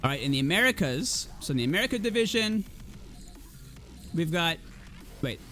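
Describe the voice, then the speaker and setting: A man in his thirties hosts a gaming league stream with a noticeably hoarse voice. He talks casually to chat in a fairly high register, and soft music plays faintly behind him. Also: big pitch swings, moderate pace. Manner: casual, chatty, addresses chat. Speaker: esports league caster. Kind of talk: livestream caster filling time.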